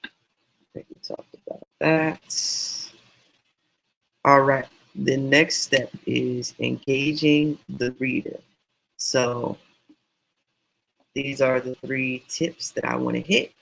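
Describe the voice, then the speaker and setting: A man teaching writing in a casual, meandering, encouraging way, his voice going hoarse. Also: mid-range voice, steady tone, slow pace, pauses often, fairly bright tone, poor audio. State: losing voice, hoarse. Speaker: self-published author teaching writing.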